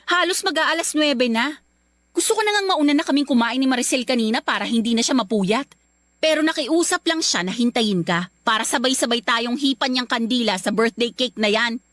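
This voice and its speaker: Emotive young Filipino wife, higher-pitched. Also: female voice, brisk, no echo, studio quality. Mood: angry, reproachful. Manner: emotive, expressive. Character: loving young wife and mother, quick to reproach. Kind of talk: Filipino radio drama acting.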